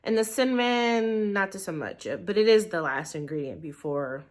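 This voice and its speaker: A woman's voice: medium pitch, expressive, normal speed. A young woman with a blocked-up, slightly nasal voice, chatting to her camera at home. She rambles loosely, hedging with ums and likes as she talks through a tea review. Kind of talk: casual review vlog. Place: living room at home. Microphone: camera in portrait mode.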